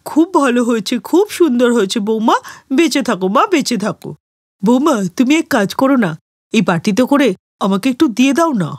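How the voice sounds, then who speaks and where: A woman's voice: a little high, animated, normal speed, no room sound, clean studio sound. A woman voicing a pushy older female neighbour in a cartoon story, wheedling and gushing as she begs for food. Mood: eager, gushing. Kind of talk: animated moral story cartoon dialogue.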